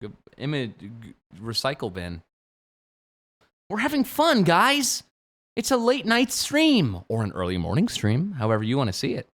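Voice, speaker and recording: Brief: man in his 20s, game streamer, joking late-night banter, very animated pitch, loud outbursts. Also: normal speed. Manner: playful, jokey, swings from mumbling to sudden shouts. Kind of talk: late-night livestream chat.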